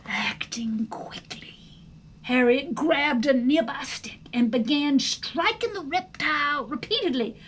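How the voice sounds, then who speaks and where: Slow, high-pitched woman reading a storybook. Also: animated. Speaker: storybook reader. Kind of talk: expressive, dramatic storybook narration.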